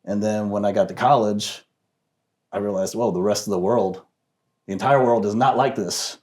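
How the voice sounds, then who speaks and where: A man speaking as a guest in a discussion, reflective and soft-spoken, with many pauses and fillers. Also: on the low side. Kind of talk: casual discussion.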